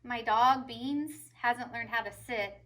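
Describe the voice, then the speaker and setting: A woman's voice: a little high, slow pace, fairly bright tone. A woman voicing an earnest young schoolgirl in a picture book, speaking in direct, simple phrases. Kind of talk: picture book character read aloud.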